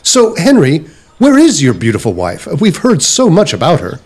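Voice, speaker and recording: A man playing an easygoing, wry Victorian gentleman and father in a period audio drama. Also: a little high, wildly swooping pitch, moderate pace, husky, heavily acted. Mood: cheerful, wry.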